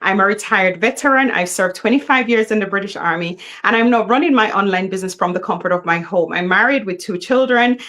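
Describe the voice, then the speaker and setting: A woman's voice: medium pitch, brisk, few pauses, fairly bright tone. Middle-aged woman hosting a livestream. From Jamaica. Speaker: host. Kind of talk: live online business presentation.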